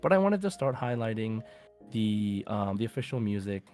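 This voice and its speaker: A man's voice: on the low side, very animated, normal speed, a little mellow, faint music behind. A man hosting a podcast, chatting informally and conversationally about a video game. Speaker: podcast host.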